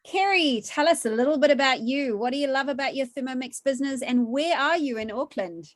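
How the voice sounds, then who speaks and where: A woman's voice: high-pitched, lively intonation, fairly quick. Upbeat New woman teaching cooking. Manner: upbeat, chatty, asks questions of a child. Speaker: cooking class host. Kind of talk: virtual cooking class. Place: home kitchen.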